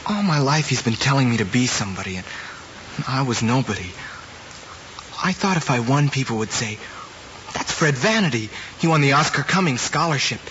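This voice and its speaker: A dull, noisy old drama soundtrack carries a man acting as an earnest young American student. He is subdued and contrite, with a middle-pitched voice and a plain delivery. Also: lively intonation, moderate pace, fairly bright tone, natural voice. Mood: remorseful. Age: young adult. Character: earnest, guilt-ridden young student confessing to cheating.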